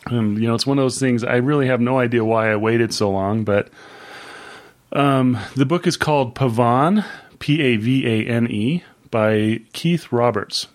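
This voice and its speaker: A man in his fifties co-hosting a book podcast, talking in a casual, rambling way with many pauses and fillers. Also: medium pitch. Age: 50s. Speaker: podcast co-host.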